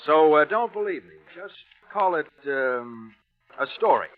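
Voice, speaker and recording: An actor playing a plain-spoken American Navy veteran, colloquial and confiding, spinning a yarn in a 1940s radio drama. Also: male voice, a little high, lively intonation, unhurried. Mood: cagey, confiding. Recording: tinny vintage radio recording, music behind.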